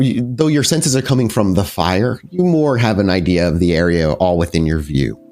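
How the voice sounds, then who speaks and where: A man with a fairly low voice runs a tabletop horror game as game master. He describes scenes in detail, pausing and rewording as he searches for the right phrase, with music playing clearly behind him. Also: darkish tone. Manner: descriptive, halting while searching for words. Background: background music.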